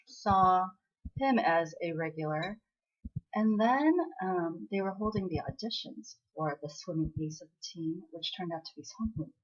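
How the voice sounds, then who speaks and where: American woman rambling about tv, lowish husky voice, lots of ums, kinda muffled lo-fi audio. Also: measured pace. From United States, born in Korea. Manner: chatty, meandering, many fillers. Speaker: drama vlogger. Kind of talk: casual rambling chat.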